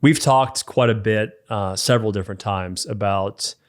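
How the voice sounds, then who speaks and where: A man's voice: fairly low pitch, moderate pace. A man speaking as a men's mentor, giving practical faith-based advice in an emphatic, direct way. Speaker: men's mentor and podcast co-host. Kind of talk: faith-based coaching talk.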